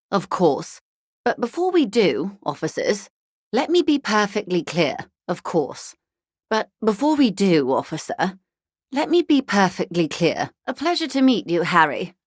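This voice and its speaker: An actress playing a poised, well-bred corporate negotiator in a video game, speaking in an articulate, measured, drily ironic way. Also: female voice, mid-range voice, no echo, clean studio sound. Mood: composed, businesslike, then polite concern. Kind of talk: scripted video game dialogue.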